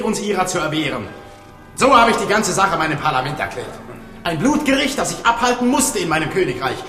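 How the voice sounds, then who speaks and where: A boisterous French king in a radio play. His high voice is sharp and swings widely as he blusters and commands. It rings through a reverberant hall. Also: heavily acted. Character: boisterous, self-justifying king of France, a brother. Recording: echoey hall, music behind.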